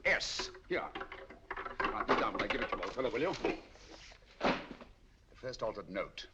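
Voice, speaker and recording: An actor playing a sharp, commanding detective in a 1940s film, speaking with crisp precision. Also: male voice, lowish voice, very animated, normal speed, heavy background noise. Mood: keen. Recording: dull lo-fi old film soundtrack.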